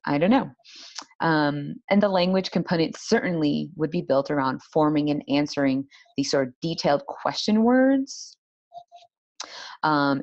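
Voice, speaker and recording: A woman's voice: low voice, vocal fry, darkish tone, no echo, highs cut off. A woman giving an explanatory academic presentation as a language teacher, posing rhetorical questions as she goes. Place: indoor room, online symposium talk.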